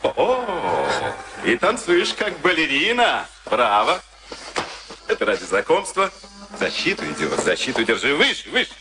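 Smug, animated SS officer. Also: male voice, mid-range voice, measured pace. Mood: taunting. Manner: commanding, mocking, smug. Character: arrogant SS officer and boxer, sadistic, falsely genial. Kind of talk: Soviet radio play acting. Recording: archive radio play with loud music.

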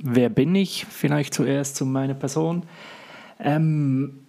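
A man's voice: medium pitch, slow, vocal fry, mellow. A man in his thirties from Switzerland with a Swiss accent, hosting his own podcast in an earnest, reflective way, thinking aloud with the odd filler. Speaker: podcast host. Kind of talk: solo podcast monologue.

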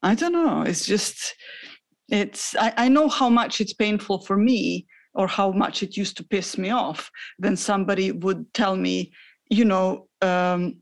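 Gravelly woman speaking in hesitant bursts. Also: mid-range voice, unhurried. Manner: animated, hesitant, with many restarts. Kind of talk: casual panel discussion.

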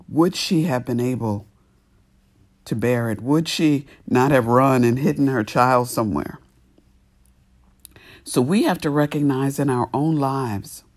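A male pastor delivering a sermon at an unhurried, measured pace. His voice carries a slight sniffle, and he leans into key words with deliberate pauses between phrases. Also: mid-range voice, a little mellow. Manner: slow, deliberate, emphatic pauses.

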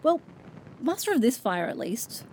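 A woman playing an impulsive, stubborn young traveler in an audio drama, casual and quick to argue. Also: on the high side, very animated, a little mellow, a little hiss or hum, low-quality recording. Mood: excited, playful. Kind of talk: naturalistic audio drama acting.

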